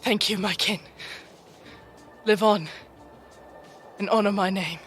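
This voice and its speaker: A woman's voice: medium pitch, steady tone, slow, a bit bright, faint music behind. A woman playing a noble, defiant demon chieftain in a dramatic audio roleplay, speaking in solemn commands. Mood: tender farewell. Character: noble, defiant female demon chieftain, self-sacrificing leader.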